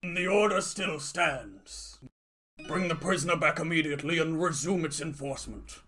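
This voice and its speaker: A man's voice: fairly high pitch, normal speed, sharp tone. A man voicing a formal, fussy government minister who gives orders nervously, in a game fandub. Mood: stern. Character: formal, high-voiced male government minister, nervously commanding.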